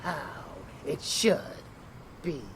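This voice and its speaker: A man's voice: a little high, extremely slow, vocal fry, background noise, exaggerated voice. A man playing an arrogant, possessive vampire lover in an intimate ASMR roleplay, theatrical and teasing, slipping into whispers. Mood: adoring, proud.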